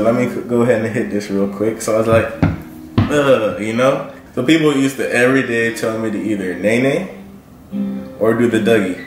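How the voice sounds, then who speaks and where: A man's voice: medium pitch, reverberant. Laid-back teen boy, slangy, music behind. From Brooklyn and Miami. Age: teens. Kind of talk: audition self-introduction. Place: living room with bookshelves.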